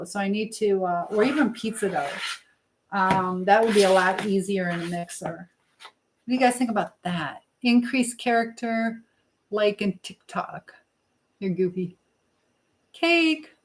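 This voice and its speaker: A woman's voice: mid-range voice, normal speed. A woman chatting casually on a livestream as she bakes in her home kitchen, rambling and thinking aloud. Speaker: livestream host. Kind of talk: casual live-stream chat while baking. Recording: noticeable background noise, clicks.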